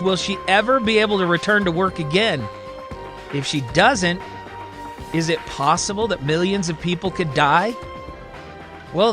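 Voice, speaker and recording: A man narrating a scripted science-fiction serial as a dramatic storyteller. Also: sits high.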